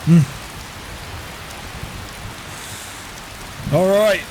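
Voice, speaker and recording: A man's voice: high voice, normal speed, background noise, lightly acted. A man roleplaying a reclusive, observant warrior in a fantasy game, musing to himself in muttered asides over a flawed home mic. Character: reclusive male warrior, wry, observant wanderer. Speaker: player roleplaying a character in a game.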